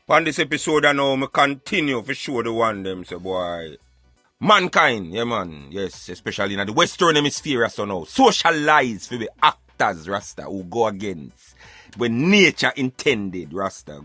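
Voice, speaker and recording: A Jamaican man hosting a casual talk show in patois, animated and emphatic as he addresses his listeners. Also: lowish voice, no room sound. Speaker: radio show host.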